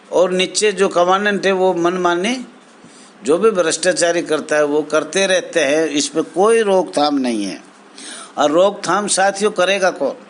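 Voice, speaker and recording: A man giving an informal grievance speech to members as an association spokesman, in halting, repetitive phrases with emphatic appeals. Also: sits high, a bit bright. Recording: clear background noise, amateur recording.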